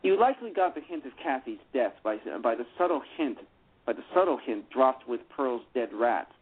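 A man's voice: medium pitch, very animated, normal speed. Rambling man on a phone line. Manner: rambling, many restarts and fillers. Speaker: playwright discussing his adaptation. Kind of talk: casual radio discussion. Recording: phone-line radio call.

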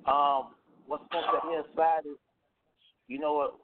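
A man's voice: fairly high pitch, flat delivery, moderate pace, narrow, tinny audio, noisy background. A young man, a rapper from Miami, talking casually in an interview, loose and rambling with lots of fillers and restarts. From Miami, Florida. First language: Haitian Creole heritage speaker. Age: young adult.

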